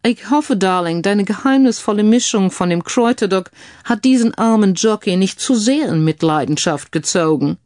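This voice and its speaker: A woman voicing a suave, wry British gentleman posing as an earl in an audio crime story, sprinkling English phrases into speech. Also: medium pitch, animated, moderate pace, no echo, studio quality, natural voice. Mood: regretful, teasing.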